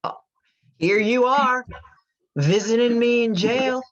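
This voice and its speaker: Guilt-stricken imprisoned mother, muffled audio. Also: on the high side. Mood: wry, moved. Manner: anguished, confessional, halting. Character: guilt-ridden imprisoned mother who killed her daughters. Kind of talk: radio drama acting.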